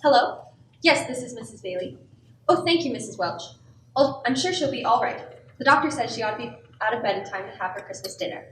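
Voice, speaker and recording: A woman's voice: on the high side, lively intonation, a little fast, fairly bright tone. A woman playing an anxious, polite wife and mother in a radio play. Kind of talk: audio-only radio play acting. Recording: echoey, distant mic, faint noise.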